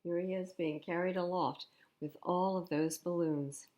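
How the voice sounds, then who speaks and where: A woman reading a picture book aloud to children at storytime, expressively, pausing to explain the pictures in simple words. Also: fairly low pitch, steady tone, darkish tone. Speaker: library storytime reader.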